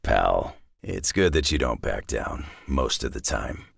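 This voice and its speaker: A man voicing a gruff, weary middle-aged superhero brawler for a video game, with a deep raspy growl and terse, dry, folksy tough-guy talk. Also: sing-song, unhurried, fairly bright tone, no room sound, full character voice. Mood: blunt, world-weary. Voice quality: deep gravelly rasp.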